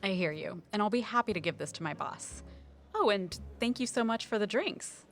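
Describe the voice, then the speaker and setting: A woman's voice: medium pitch, lively intonation, normal speed, a bit bright, soft background music. An actress playing a knowledgeable woman conservation officer and sleuthing sidekick in a naturalistic audio drama, informative and wry. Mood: polite.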